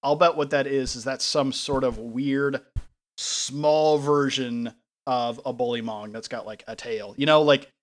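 A man of ordinary pitch co-hosts a podcast in a casual way. He gets animated as he tells his stories and often laughs partway through them. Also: a little slow. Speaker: podcast co-host. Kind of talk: casual chat.

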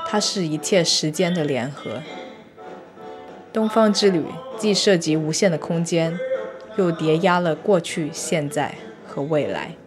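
Low-pitched woman narrating, music behind. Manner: reflective, measured literary phrasing. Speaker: host. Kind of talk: scripted first-person narration, audio travelogue and arts review.

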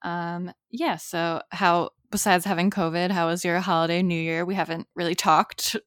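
Upbeat low-voiced woman podcast host. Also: flat delivery, normal speed, clear, resonant tone, no room sound, studio quality. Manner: chatty, upbeat, laughs easily. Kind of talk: casual chat.

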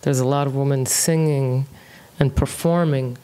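A woman in her thirties from Kuwait, a music producer talking as a guest in a casual interview. She speaks in a relaxed way, thinking aloud with frequent fillers. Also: very deep voice, normal speed, clean tone. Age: 30s.

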